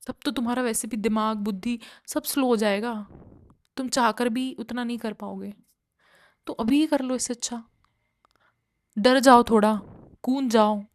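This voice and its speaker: A woman's voice: a little high, a little mellow. A young woman from India talking straight to her viewers in a chatty, earnest way, switching between Hindi and English. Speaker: vlogger. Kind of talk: casual talking-to-camera vlog.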